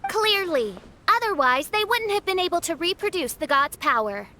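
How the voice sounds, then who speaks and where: A woman's voice: very high-pitched, sing-song, normal speed, bright, forceful tone, exaggerated voice. A woman voicing a haughty, theatrical young noble girl in a video game, with formal, grandiose delivery. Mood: grave, lofty. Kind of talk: scripted game cutscene acting.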